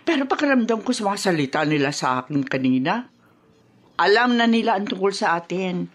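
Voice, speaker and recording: Actress as a defensive, scheming woman, dramatically animated. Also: mid-range voice, brisk, natural voice. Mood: anxious, conspiring. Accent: Filipino. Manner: exclamatory, theatrical reactions. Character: defensive woman secretly having affair with friend's husband. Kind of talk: Filipino radio drama acting.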